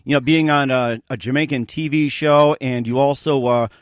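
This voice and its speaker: A man hosting an interview show, speaking in a halting, rambling way as he does promos and questions. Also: mid-range voice, steady tone, clean tone, no room sound, clean studio sound. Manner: halting, rambling, filler-heavy. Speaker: radio show host and interviewer.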